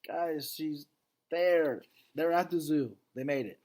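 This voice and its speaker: A man narrates a children's story in an expressive storytelling style. His voice is a little high for a male, and he takes his time, leaving dramatic pauses. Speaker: read-aloud narrator. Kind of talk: storytelling read aloud with casual asides to young listeners.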